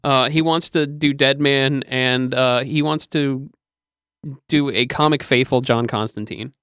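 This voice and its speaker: Smooth-voiced man in casual panel chat. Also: medium pitch, steady tone, a little slow, a bit bright, dry recording, studio quality. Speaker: podcast co-host giving comic book news.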